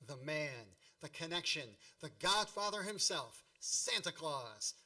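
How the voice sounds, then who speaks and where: A man's voice: on the high side, animated, a little slow, bright, forceful tone, exaggerated voice. A man playing a grown-up narrator in a radio play, wryly and grandly recalling his boyhood in mock-epic style.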